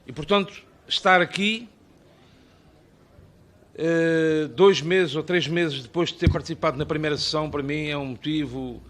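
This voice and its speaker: A man's voice: on the high side, moderate pace, a bit bright. A middle-aged man with an Azorean Portuguese accent, giving a formal, ornate speech at a parliamentary hearing and building long rhetorical lists. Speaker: invited speaker at a parliamentary hearing. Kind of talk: formal public address.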